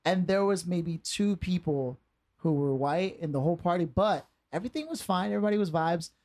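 A man's voice: sits high, expressive, brisk, darkish tone. Rambling man telling a story. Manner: rambling, hesitant, full of "like". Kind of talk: casual storytelling.

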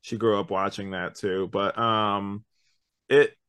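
A man's voice: lowish voice, fairly even intonation, slow pace, a bit bright, clear, resonant tone, no room sound, studio quality. A young man co-hosting a podcast, giving a casual, rambling conversational review. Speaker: podcast co-host.

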